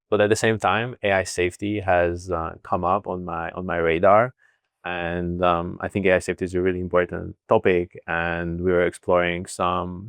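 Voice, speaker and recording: Very deep, flat-voiced Hungarian man. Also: no room sound. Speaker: guest, startup co-founder. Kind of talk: interview answers.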